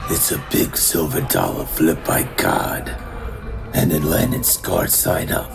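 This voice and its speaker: Broken, dreamy villain, extremely deep and slow, trancelike brooding, for an audio drama. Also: male voice, big pitch swings, vocal fry, music in the background, full character voice. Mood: dreamy, haunted.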